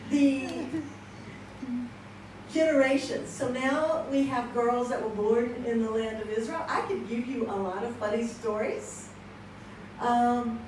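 A woman in her sixties with an American accent, telling personal stories to a conference audience on a handheld mic, chatty and laughing often. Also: fairly high pitch. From United States. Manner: chatty, laughs often, acts out quoted exchanges. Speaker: conference speaker. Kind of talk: personal testimony, storytelling. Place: church hall with audience. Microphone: handheld mic, seated, filmed from across the room. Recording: echoey hall from far off with background noise.

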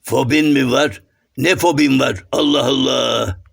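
Gravelly, grumpy cartoon clown. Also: male voice, mid-range voice, fairly even intonation, measured pace, heavily acted. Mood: mocking. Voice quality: gravelly, rough. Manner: exclamatory, teasing comic retorts. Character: grumpy, blunt, mocking male shadow-puppet folk clown. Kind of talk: children's cartoon voice acting.

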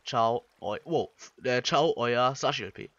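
A young man from Germany, giving casual Let's Play commentary and thinking out loud in colloquial language. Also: mid-range voice, unhurried, no echo. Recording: slightly dull, lo-fi microphone.

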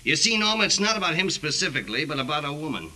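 An actor playing a jealous, scheming former lover, intense and insinuating, in 1940s radio drama style. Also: male voice, fairly high pitch, big pitch swings, racing, bright, forceful tone, exaggerated voice. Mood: menacing.

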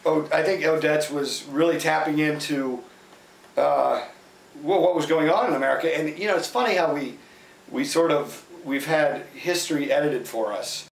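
A man's voice: fairly high pitch, normal speed, gravelly, far from the mic. A man, a theatre director, informally explaining a play and its themes. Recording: echoey room, off-mic, faint noise.

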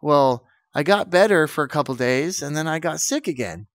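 A man's voice: a little high, expressive, moderate pace, no room sound, studio quality. A man with a cold and a fading voice, chatting enthusiastically as a channel host. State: sick, losing his voice. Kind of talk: casual vlog intro and comedy skit.

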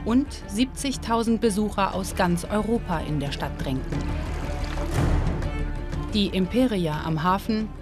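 A woman giving scripted documentary narration in a measured, even storytelling delivery. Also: medium pitch. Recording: narration over loud music.

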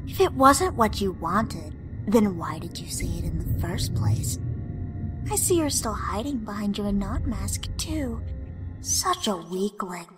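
A woman's voice: mid-range voice, sing-song, a little slow. A woman voicing a sadistic demonic child with mocking, sing-song taunts in a comic dub. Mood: mocking menace. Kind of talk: fan comic dub voice acting. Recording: loud music underneath.

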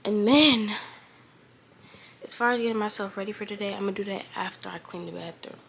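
Teen girl vlogger, just woke up, raspy and unhurried, phone held close in her bedroom. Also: medium pitch, a bit bright, slight background noise. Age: teens. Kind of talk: casual morning vlog talk. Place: bedroom, indoors. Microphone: phone held close at arm's length.

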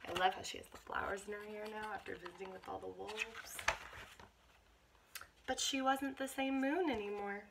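An American woman in her twenties reads a picture book aloud to children with asides, in an expressive, encouraging manner. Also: medium pitch. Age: 20s. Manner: expressive, encouraging, addressing young listeners. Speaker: teacher reading aloud to children. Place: small bedroom indoors. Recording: clear background noise, home camera microphone.

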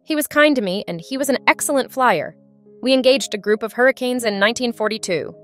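A woman's voice: on the high side, a little fast, fairly bright tone, faint music behind, natural voice. A woman voicing an ashamed, sorrowful warplane girl in a scripted drama, earnest and confessional. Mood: sorrowful recounting. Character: ashamed, battle-scarred female warplane, sorrowful and self-loathing.

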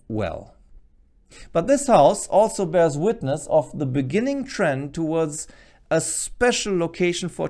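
A man's voice: mid-range voice, darkish tone. A middle-aged man explaining to camera like a teacher, in an earnest, emphatic manner, speaking at a desk microphone. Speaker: presenter, Bible teacher. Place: small furnished studio room at a desk, indoors. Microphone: desk microphone close in front.

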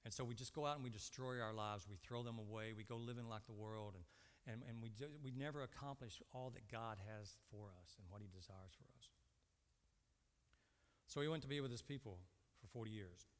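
A man's voice: medium pitch, a little fast. Man preaching in a reverberant hall. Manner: asks the congregation short rhetorical questions and answers them. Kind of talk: sermon. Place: indoor church stage at a lectern.

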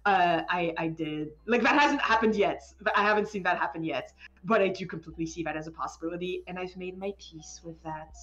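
A woman chatting casually as a game developer in an interview, animated, often interrupting herself and stammering over words. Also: fairly low pitch, fairly quick, muffled recording. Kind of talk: casual live stream chat.

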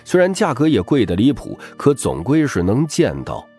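A man's voice: mid-range voice, wildly swooping pitch, moderate pace, mellow, faint music behind. A man narrating a novel as scripted audiobook storytelling, with a dramatic delivery.

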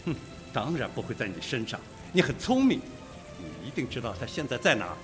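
Suspicious police detective male actor for a radio whodunit: medium pitch, lively intonation, blunt and sarcastic. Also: brisk, over music, natural voice. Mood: accusing. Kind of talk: Mandarin radio mystery drama acting.

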